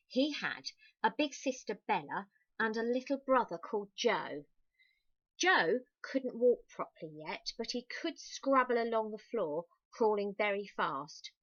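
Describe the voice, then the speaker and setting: A slightly dull, dry home recording of a woman reading a picture book to children. Her voice sits at a middling pitch, and she reads expressively, emphasizing words and adding soft, confiding asides to the listeners. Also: no room sound. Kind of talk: read-aloud children's story with chatty asides.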